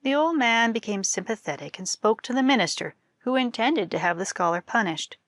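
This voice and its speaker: A woman storyteller reading a folktale aloud with expressive narration, as for a library audience. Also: on the high side, a little fast, no room sound.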